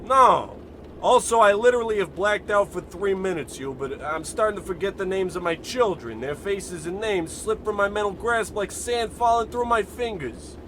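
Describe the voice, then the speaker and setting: Male actor as addled veteran henchman for radio comedy: high-pitched, rambling, forgetful, over audible noise. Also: expressive, moderate pace, fairly bright tone. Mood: dazed, forgetful. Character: dim, addled shrapnel-skulled war veteran goon. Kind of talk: old-time radio comedy acting.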